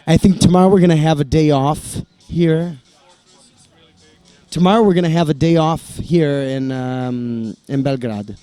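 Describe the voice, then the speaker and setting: A man's voice: a little high, a little slow, warm tone, dry recording. A young American man, bilingual in English and Italian, answering interview questions in a hesitant, restarting way. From United States. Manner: hesitant, many restarts and fillers. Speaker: band member, interview guest. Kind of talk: casual interview talk.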